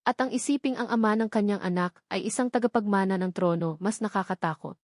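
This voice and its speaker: A woman's voice: mid-range voice, fast, no echo, clean studio sound. A woman narrating a romantic drama audiobook in a scripted, dramatic storytelling style.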